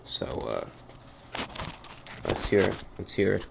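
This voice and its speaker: A man hosting a radio show, explaining things in a casual, halting, conversational way. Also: sits low, sing-song, vocal fry, noisy background. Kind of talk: casual informal radio commentary.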